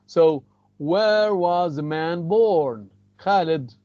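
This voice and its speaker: A man's voice: on the high side, very slow, mellow, no room sound, highs cut off. A man with an Egyptian accent teaching an English class, repeating phrases and mixing in Arabic. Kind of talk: classroom lecture.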